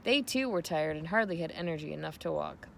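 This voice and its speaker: A woman giving an expressive read-aloud narration of a children's novel. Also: low voice, brisk, gravelly, slight background noise. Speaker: storybook reader.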